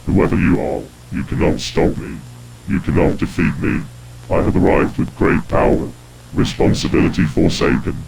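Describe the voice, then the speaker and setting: An actor playing a monstrous, grandiose villain in an analog horror story, with a guttural, gravelly voice and slow, menacing proclamations, on damaged-sounding footage audio. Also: male voice, low-pitched, steady tone. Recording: noisy hissy distorted footage audio.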